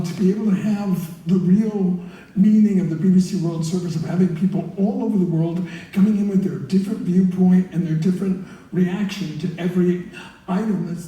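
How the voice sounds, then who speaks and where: A man's voice: high voice, dark tone. A man answering questions as a guest in a live interview, speaking in an earnest, enthusiastic way. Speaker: guest, listener group founder. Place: heard through theatre speakers by live link. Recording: very echoey hall, far from mic.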